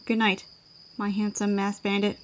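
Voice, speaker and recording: A woman's voice: mid-range voice, monotone, moderate pace, a little hiss or hum, close to an everyday voice. A woman playing a playful, affectionate female adventurer in an audio drama. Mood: flirty, teasing.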